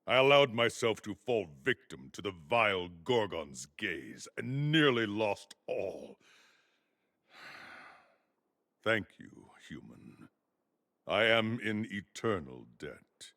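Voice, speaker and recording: A proud bull-like warrior for a fantasy game. The voice is low and dry, swinging widely in pitch. He speaks in solemn, archaic phrases with a fierce sense of honour. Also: sing-song, slow pace, fairly bright tone, no echo, exaggerated voice. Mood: solemn, remorseful. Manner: solemn, formal archaic speech. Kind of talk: dramatic video game acting.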